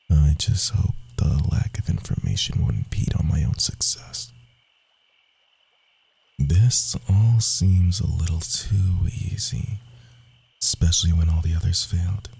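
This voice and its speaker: A man playing a sly, cocky rogue assassin in a fantasy audio roleplay, speaking softly and theatrically, often dropping into whispers. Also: no echo, full character voice. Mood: wary, hushed. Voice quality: low, gravelly, husky.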